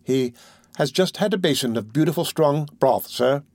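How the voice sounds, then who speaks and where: A man's voice: on the high side, lively intonation, normal speed, dry recording. A man voicing a kindly, bustling old housekeeper woman with a good-humoured, motherly manner, in a storybook reading.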